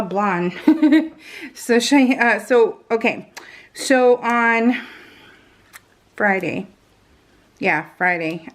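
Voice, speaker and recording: A woman with a mid-pitched voice talks casually while she crafts. She is chatty and giggly, drifting from thought to thought and often interrupting herself, in the relaxed style of a real-time hobby vlog. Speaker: craft vlogger.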